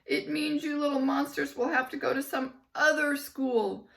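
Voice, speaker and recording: A woman voicing a gleeful, villainous male school official who shouts and cackles like an evil mad scientist. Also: sits high, fairly even intonation, moderate pace, creaky, recorded from a distance, voice pushed up. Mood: gloating.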